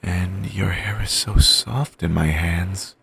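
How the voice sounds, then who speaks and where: A man playing an obsessive, doting yandere boyfriend in an audio roleplay, talking softly and rambling on with endearments. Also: sits low, steady tone, creaky, breathy edge, dry recording, heavily acted. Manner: soft, murmuring, slow and rambling endearments.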